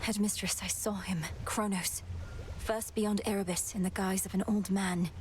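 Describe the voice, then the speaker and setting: A woman's voice: mid-range voice, fairly even intonation, normal speed, a little mellow, a little hiss or hum, natural voice. Woman as earnest, determined young goddess. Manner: serious, precise, formal.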